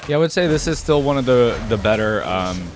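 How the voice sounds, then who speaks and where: A man's voice: medium pitch, expressive, brisk, a little hiss or hum. A man giving casual reaction commentary as a video host, musing and thinking aloud. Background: game music and sound effects.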